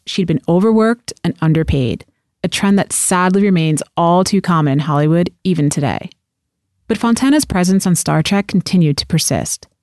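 A woman's voice: lowish voice, a little mellow, no room sound, clean studio sound. A woman reading scripted documentary narration as the narrator.

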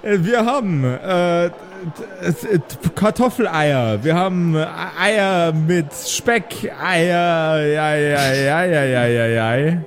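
A man's voice: a little high, slow, few pauses. A man playing an over-polite, stammering waiter who loves puns, in an improvised tabletop audio play. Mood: eager, flustered. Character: chatty, pun-crazed male restaurant waiter, eager to please.

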